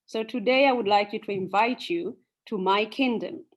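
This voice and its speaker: A woman voicing a smooth-talking male shark in a folk tale, coaxing and friendly. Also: mid-range voice, normal speed, close to an everyday voice. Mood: inviting.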